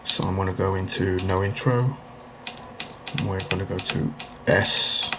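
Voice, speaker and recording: A man's voice: low-pitched, steady tone, measured pace, heavy background noise. A man hosting an informal hands-on tutorial, explaining things plainly, step by step. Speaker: video host demonstrating a retro computer repair.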